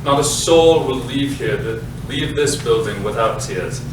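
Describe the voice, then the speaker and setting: Pompous male playwright, played by a man, slow and grandiose, very reverberant, distant mic. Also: medium pitch, lively intonation, lightly acted. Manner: grandiose, declamatory, overemphatic. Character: pompous self-important male playwright, bitter about his ex-wife. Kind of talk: theatrical narration in a comic staged reading. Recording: echoey hall from far off, noisy.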